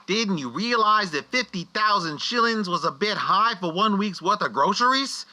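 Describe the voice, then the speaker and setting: A man's voice: sits high, lively intonation, normal speed, bright, forceful tone, exaggerated voice. A man playing a mocking, wisecracking ghost guide in a comic radio play, taunting and exasperated.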